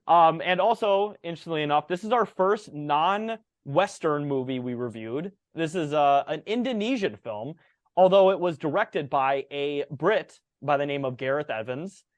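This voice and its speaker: Podcast co-host, man in his thirties, slightly high, easygoing, lots of fillers, dry close mic. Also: moderate pace, no echo. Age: 30s. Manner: relaxed, filler-heavy, reads facts aloud. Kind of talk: casual movie review chat.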